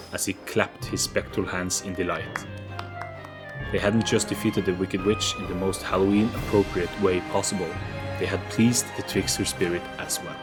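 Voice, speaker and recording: A man narrating a story as an audio play in a dramatic, scripted storybook style. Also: low-pitched. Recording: faint music behind narration. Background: soft background music.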